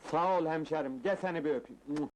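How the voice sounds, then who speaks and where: A man's voice: on the high side, a little fast, natural voice, low-quality recording. An actor playing a plain-spoken, pleading man from the provinces in a comedy film, talking colloquially and plaintively. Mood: friendly, insistent. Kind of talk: Turkish comedy film acting.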